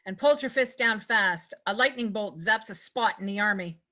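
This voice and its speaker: A woman narrates a script's stage directions. Her voice is bright and forward, at a medium pitch, with a read-aloud scripted delivery. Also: normal speed.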